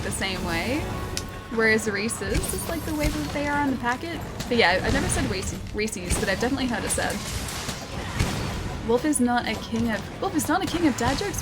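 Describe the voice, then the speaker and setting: An Australian woman streaming a video game, chatting casually and reading viewer comments, with a slightly croaky voice. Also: on the high side. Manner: chatty, rambling, frequent ums and laughs. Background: loud game music.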